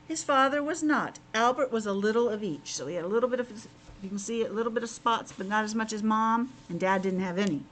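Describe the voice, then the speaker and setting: A woman with a fairly high voice reads to young children like a teacher. She explains simply and adds chatty asides, over clear background noise. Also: fast talker, a bit bright, poor audio.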